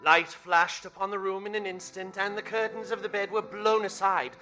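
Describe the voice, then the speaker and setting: A man's voice: sits high, flat delivery, moderate pace, pushed and bright, faint music behind. A man narrating a scripted radio play in a 1930s style, telling the story dramatically.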